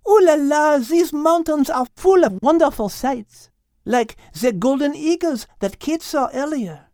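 Children's audiobook: refined French female cat, high-pitched, animated, precise little teacher with a French accent. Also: unhurried, dry recording, clean studio sound. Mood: delighted. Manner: precise, enthusiastic explaining. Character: elegant female French cat, clever, botany-loving teacher.

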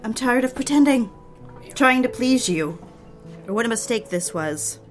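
Actress as fragile, high-voiced young wife, music underneath. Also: female voice, animated, moderate pace, close to an everyday voice. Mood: hurt, accusing. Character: needy, defiant newly married daughter, emotionally fragile. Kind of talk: dramatic audio fiction with first-person narration.

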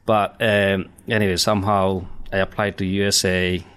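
Deep-voiced Indian man, chatty guest. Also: level tone, moderate pace, a bit bright, clean tone. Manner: chatty, laughs between points, many fillers. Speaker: guest, cybersecurity company founder. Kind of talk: casual podcast interview.

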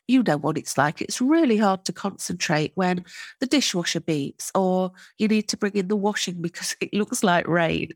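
A woman's voice: mid-range voice, dry recording. A middle-aged woman hosting her own podcast, talking in an encouraging, chatty way, a little sniffly from a cold. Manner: chatty, warm, encouraging, self-interrupting. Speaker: podcast host. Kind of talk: casual solo podcast.

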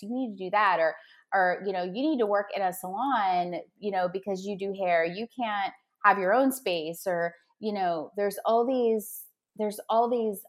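A woman talks as a podcast guest, coaching listeners with upbeat, motivational energy. She lays out her advice step by step, in a casual, conversational way. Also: medium pitch.

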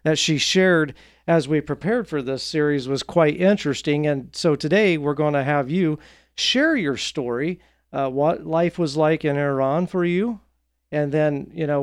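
A man's voice: fairly high pitch, no echo, studio quality. A man hosting an interview podcast, chatty and friendly, asking questions and slipping in small jokes. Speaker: podcast host. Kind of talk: conversational interview.

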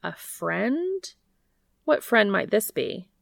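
A brash, nosy brother, acted out by a woman reading an audiobook, blunt and mocking in his disbelief. The voice has heavy gravelly fry and sits in a dry recording. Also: mid-range voice, very animated, takes it slowly, no echo, heavily acted. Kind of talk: audiobook character dialogue.

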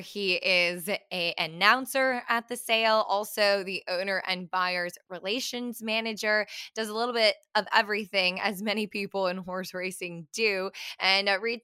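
A woman's voice: mid-range voice, pushed and bright, smooth. A young adult woman hosting a casual podcast interview, tired but chatty and upbeat, with frequent fillers. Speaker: podcast host.

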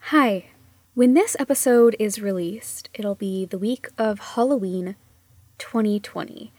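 A woman presenting a casual, reflective podcast monologue, speaking gently and conversationally and trailing off softly at phrase ends. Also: medium pitch, measured pace, no echo.

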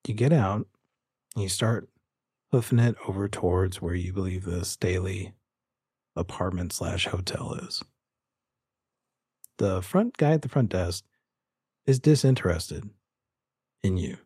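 Casting: male game master, low and slightly husky, narrating tabletop roleplay in a dry studio sound. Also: measured pace, long pauses, a little mellow, no echo.

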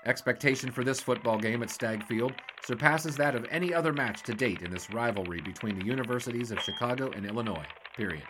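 A man's voice: medium pitch, expressive, fast, few pauses, noisy background, close to an everyday voice. An American man playing a wisecracking, flirtatious 1920s newspaper sportswriter in a period audio drama. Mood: businesslike. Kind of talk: 1920s period radio-style acting.

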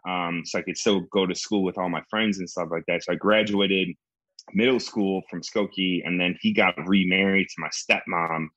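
A young man from the Chicago area chats on a podcast in a low, bright, forceful voice, wandering from story to story and leaning on "like" as filler. The sound is dry and a little dull and lo-fi. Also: fairly even intonation, normal speed, dry recording. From Chicago area, USA. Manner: rambling, digressive storytelling with lots of "like". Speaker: guest comedian. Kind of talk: casual podcast chat.

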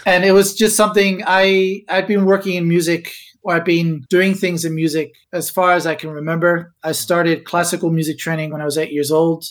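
A man's voice: fairly high pitch, brisk, few pauses. Middle-aged man telling his story. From Netherlands and Spain. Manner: relaxed storytelling, reflective. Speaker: guest, musicologist. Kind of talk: podcast interview.

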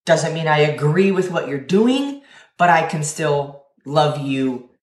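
A woman with a low-pitched voice speaks in a room with strong echo. She is measured and conciliatory, thinking through her points aloud in a casual discussion. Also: expressive, darkish tone. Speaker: co-leader of a community discussion. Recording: echoey room.

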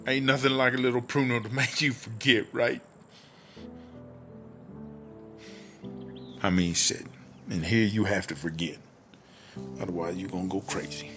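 Man acting as boozy female jailbird, slangy drawling storyteller, audio drama, music clearly behind. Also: fairly low pitch, lightly acted. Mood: rueful. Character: Chartreuse, tipsy streetwise woman prisoner telling old stories.

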